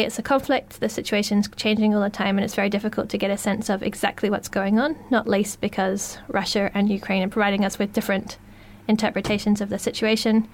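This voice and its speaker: A woman's voice: mid-range voice, a little mellow. A woman moderating a panel discussion, asking thoughtful and engaged questions.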